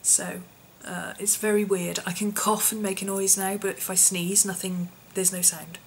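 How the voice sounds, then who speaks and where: A woman, her voice hoarse and husky from an infection, chatting casually in a vlog with lots of ums and small laughs. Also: medium pitch, level tone, moderate pace, slight background noise. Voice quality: husky, often whispery. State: hoarse, voice partly lost from infection. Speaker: vlogger.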